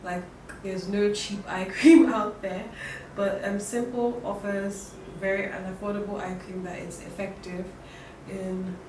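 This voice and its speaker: Young woman, hoarse from a cold, chatty beauty vlogger, roomy sound, mic set back, noisy background. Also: medium pitch, little pitch movement, a little slow, a little mellow. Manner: chatty, with many fillers and restarts. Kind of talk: casual makeup tutorial talk. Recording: roomy, distant, noisy home recording.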